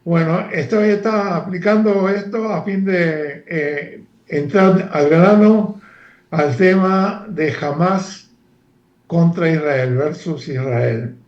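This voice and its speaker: An older man from Argentina, a former professor speaking as a guest analyst in a halting, lecture-like way with many fillers and restarts. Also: on the high side, darkish tone, roomy sound, lo-fi sound. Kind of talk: lecture-like interview talk.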